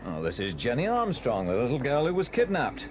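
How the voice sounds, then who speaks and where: An actor with a British accent playing a hearty, fussy police inspector in an audio drama. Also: male voice, mid-range voice, huge pitch swings, brisk, music in the background. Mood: amazed. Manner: genial, fussy, with ums. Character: hearty, kindly police inspector, middle-aged man. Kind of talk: 1980s audio drama acting.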